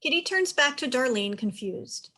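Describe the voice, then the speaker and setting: A woman's voice: high voice, lively intonation, normal speed, sharp tone. A woman giving scripted narration, reading out the stage directions of a play.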